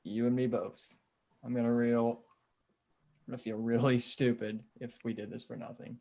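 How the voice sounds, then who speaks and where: A man's voice: mid-range voice, little pitch movement, normal speed, warm tone, natural voice. A man playing a determined, level-headed zombie survivor. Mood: wry. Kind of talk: table read of a comic book script. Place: remote video call table read.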